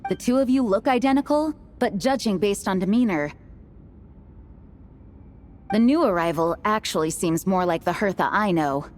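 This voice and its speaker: An actress voicing an authoritative, level-headed knight commander with a firm manner in a game cutscene. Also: female voice, medium pitch, lively intonation, moderate pace, long pauses, a little hiss or hum, close to an everyday voice. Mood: judicious.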